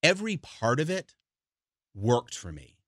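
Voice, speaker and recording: A man's voice: medium pitch, big pitch swings, a little slow, a bit bright, dry recording, clean studio sound. A man co-hosting a podcast, talking enthusiastically in long run-on sentences. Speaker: podcast co-host. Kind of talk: casual podcast chat.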